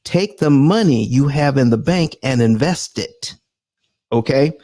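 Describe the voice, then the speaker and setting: A man's voice: mid-range voice, lively intonation, measured pace, mellow. A man hosting a live finance show who talks emphatically, repeats himself and calls the audience folks. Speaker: host.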